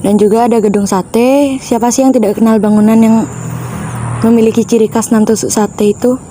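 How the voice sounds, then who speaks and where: A woman's voice: a little high, steady tone, brisk, mellow, a little hiss or hum. A teenage girl presenting school topics on a student podcast in an earnest, informative way. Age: teens. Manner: earnest, informative, explaining history.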